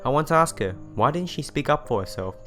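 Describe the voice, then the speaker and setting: A man's voice: fairly high pitch, lively intonation, quick pace, fairly bright tone. A man reading a visual novel aloud, with casual asides and some stumbles over words that he goes back over. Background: music playing behind the voice.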